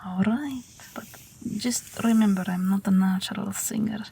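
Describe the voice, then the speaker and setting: A woman playing a gentle witch aviator in an audio roleplay, speaking in a soothing, breathy whisper as if at a bedside. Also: medium pitch, fairly even intonation, moderate pace, slight background noise, natural voice. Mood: tender, soothing. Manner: soft, soothing, hesitant, with gentle amusement. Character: gentle night witch aviator, old but youthful, soothing a patient. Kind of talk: whispered audio roleplay, intimate bedtime monologue.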